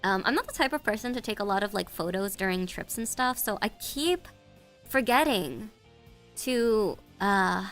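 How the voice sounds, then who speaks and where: A woman's voice: medium pitch, lively intonation, normal speed, fairly bright tone, soft background music. A woman with a congested, stuffy voice, chatting casually with her stream audience, giggling and stumbling over her words. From United States. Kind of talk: casual live-stream chat.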